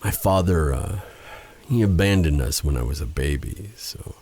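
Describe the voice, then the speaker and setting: A man playing a brooding, bitter exiled sorcerer with a tender side in an intimate ASMR roleplay drama, with a soft, halting delivery. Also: rumbling low, animated. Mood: somber, reminiscing. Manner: halting, hesitant, sighing delivery.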